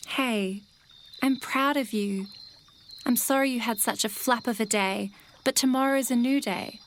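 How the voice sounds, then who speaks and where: A woman playing a caring, supportive bird partner in an audio drama, with a gentle, reassuring and natural delivery. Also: on the high side, unhurried, slight background noise, natural voice. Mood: tender.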